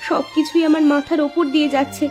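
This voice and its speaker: Woman voicing a high-pitched village housewife. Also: flat delivery, fast, darkish tone, close to an everyday voice. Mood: anxious, confused. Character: concerned, dutiful village housewife. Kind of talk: Bengali moral-story cartoon voice acting. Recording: music behind the voice.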